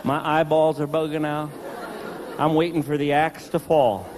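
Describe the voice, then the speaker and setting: A man in his forties doing stand-up comedy with a handheld mic on a theater stage, telling a story in an animated way with mock-calm asides. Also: on the high side, normal speed, noisy background. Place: theater stage before a large audience. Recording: live stage recording with laughing crowd.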